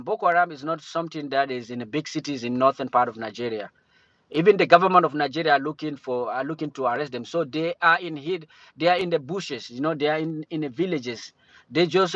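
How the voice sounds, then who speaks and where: A man from Cameroon chatting casually on a livestream, talking in a halting way with many restarts and fillers. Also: fairly high pitch, fairly bright tone, no echo. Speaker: livestream host. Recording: dull lo-fi stream audio.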